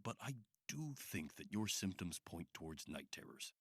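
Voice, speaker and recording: Deep, husky-voiced persuasive male doctor. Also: big pitch swings, normal speed, no echo, clean studio sound, exaggerated voice. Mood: clinical, reassuring. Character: calm, persuasive male therapist at sinister institute. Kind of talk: modern radio drama acting.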